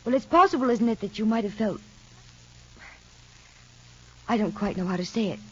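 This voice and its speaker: A woman's voice: fairly high pitch, animated, normal speed, fairly bright tone, slightly rough. An anxious, prying mother in a radio soap. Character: anxious, probing mother figure. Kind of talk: 1940s radio acting. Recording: lo-fi vintage radio recording.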